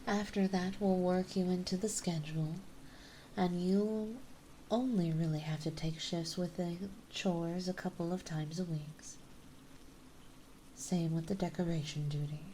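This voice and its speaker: Actress as gentle but dominant female vampire for ASMR roleplay: low, breathy, unhurried, refined, faint noise behind. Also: fairly even intonation, natural voice, poor audio. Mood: warm, reassuring. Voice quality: soft, breathy, often whispered. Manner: slow, coaxing, refined and reassuring.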